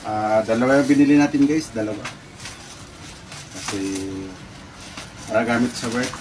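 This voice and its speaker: A Filipino man giving a casual, halting unboxing talk to his viewers, often repeating his words. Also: medium pitch, steady tone. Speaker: vlogger presenting a product unboxing. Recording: distant mic, clearly noisy background with birds.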